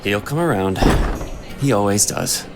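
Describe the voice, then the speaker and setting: An actor playing a shrewd, composed male inquisitor in a fantasy audio drama, speaking in a measured, persuasive way. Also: lowish voice, animated, heavy background noise. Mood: shrewd, probing.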